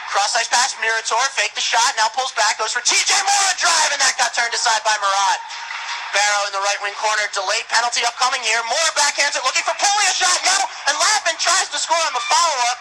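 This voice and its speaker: A man calling live ice hockey play-by-play on the radio with urgent, excitable delivery. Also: very high voice, fast. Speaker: play-by-play announcer. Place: ice hockey rink broadcast position. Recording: noisy arena radio broadcast.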